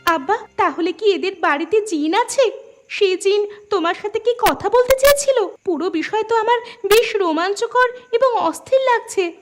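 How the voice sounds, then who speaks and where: Woman voicing a very high, sweet daughter. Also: animated, normal speed, soft background music. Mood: astonished. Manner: sweet, eager, concerned. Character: affectionate, excitable grown daughter visiting her village father. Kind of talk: cartoon voice acting.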